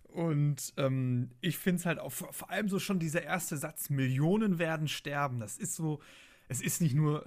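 Higher-voiced man chatting on a podcast. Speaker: podcast co-host. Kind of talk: casual fan podcast chat.

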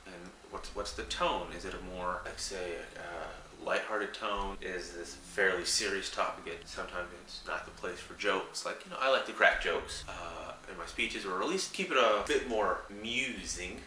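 A young man vlogging casually to camera, a little raspy from a cold, rambling with many fillers in a small room at night. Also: low voice, moderate pace, few pauses, sharp tone. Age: young adult. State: recovering from a cold, slightly raspy. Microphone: camera a few feet away. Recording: roomy, distant mic, faint buzzing hum.

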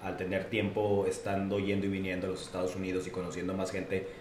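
A man's voice: sits low, monotone, quick pace, darkish tone. A man from Guadalajara with a Mexican accent, co-hosting a podcast in casual talk, joking and stumbling over repeated words. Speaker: podcast co-host. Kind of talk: casual conversation. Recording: echoey room, distant mic, faint noise.

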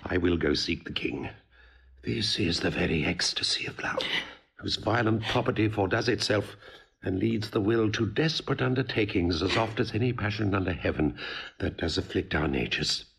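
A man's voice: lowish voice, normal speed, gravelly, a bit bright, breathy edge, heavily acted. An actor playing a fussy, sententious older father and royal counsellor in a classical Shakespearean audio drama. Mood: alarmed.

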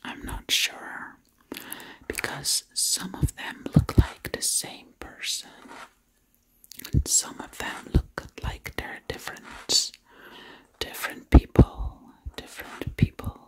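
A woman in her thirties whispers an ASMR story close to the mic, hushed, repeating words rhythmically. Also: slow, poor audio. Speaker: ASMR creator. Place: small indoor room at home.

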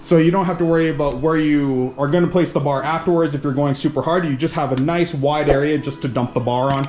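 A man from Canada casually unboxing and installing gear in his home gym, chatty and relaxed, with small restarts as he works. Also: a little high, quick pace. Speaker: fitness vlogger.

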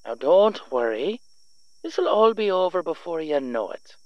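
A man's voice: fairly high pitch, sing-song, measured pace, no room sound. Man playing a taunting young half-vampire villain, muffled. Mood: bitter, vengeful. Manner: theatrical, sardonic, taunting. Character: young half-vampire son, smug, vengeful, taunting in a recorded message. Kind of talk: audio roleplay villain monologue.

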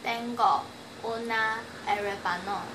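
Slow, careful Taiwanese woman learner. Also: a little high, fairly even intonation, echoey room, noisy background. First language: Chinese. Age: 20s. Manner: slow, careful learner's speech with halting restarts. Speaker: language student. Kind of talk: self-recorded homework video interview. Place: small bedroom, indoors.

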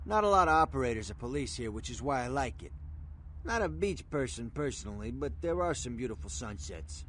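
A man's voice: mid-range voice, big pitch swings, brisk, a bit bright, slight background noise. A man voicing a street-wise, world-weary American underworld fixer, terse and matter-of-fact. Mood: matter-of-fact, guarded.

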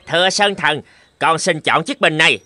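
A man's voice: on the high side, normal speed, natural voice. A man voicing a shrewd, confident fox who reasons things out in a cartoon fable. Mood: bold, challenging. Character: shrewd, confident male fox, clever and composed. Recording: loud music behind the voice. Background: loud jingling music.

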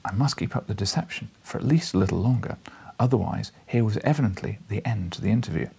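A man in his thirties narrating an audiobook in a dramatic, expressive storytelling style. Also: lowish voice, quick pace, vocal fry, husky. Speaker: audiobook reader. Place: small living room, indoors, seated in armchair.

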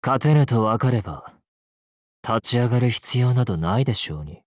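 男性声優がゲームで演じる、悲哀に満ちて丁寧な物言いの、冷徹で詩的な騎士の弓使い。. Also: male voice, medium pitch, animated, no echo, clean studio sound, natural voice. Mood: gentle, melancholy. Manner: slow, formal, poetic delivery. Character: sorrowful, courtly male knight archer, poetic and coolly merciless. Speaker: voice actor in a mobile game. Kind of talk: theatrical game battle lines. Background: game music.

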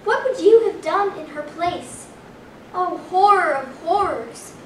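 Young female acting a very high-pitched, theatrical storybook girl. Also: animated, takes it slowly, fairly bright tone, full character voice. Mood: macabre relish. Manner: theatrical, declaiming. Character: eerie little storybook girl, dramatic and macabre. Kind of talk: staged play reading. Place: small hall, staged reading before an audience. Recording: roomy distant camera recording, faint noise.

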